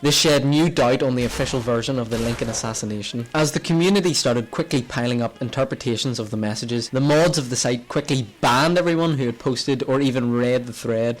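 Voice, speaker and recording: A man's voice: medium pitch, a little fast, hardly any pauses, poor audio. A man narrating a scripted creepy story, with informal asides. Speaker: narrator of a video game horror story channel.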